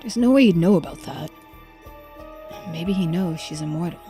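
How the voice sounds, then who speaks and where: A woman's voice: on the low side, lively intonation, moderate pace, warm tone, soft background music, close to an everyday voice. A woman voicing an informal, hesitant and wary male investigator in a video game. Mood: wary, muttering. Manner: casual, hesitant phrasing. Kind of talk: video game character voice-over.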